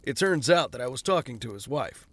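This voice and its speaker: An actor playing a flippant, self-mocking rich playboy in an audio drama. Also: male voice, mid-range voice, animated, fairly quick, a bit bright. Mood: breezy. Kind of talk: fan audio drama acting.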